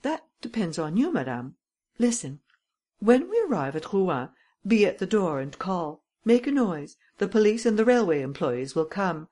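A woman voicing a cool, cunning gentleman thief who gives brisk, commanding instructions. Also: medium pitch, sing-song, pitched above the performer's own voice.

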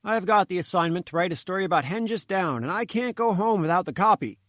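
A man's voice: high voice, lively intonation, quick pace, fairly bright tone, no room sound, clean studio sound, pitched above the performer's own voice. A man voicing a fat little male reporter who talks in a plaintive, sputtering, cheeky way. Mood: pleading, cheeky.

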